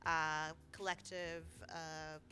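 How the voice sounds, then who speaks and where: Bright-voiced American woman, fairly low pitch. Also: level tone, takes it slowly, soft background music. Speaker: podcast co-host and community organizer. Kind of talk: casual conversational reflection.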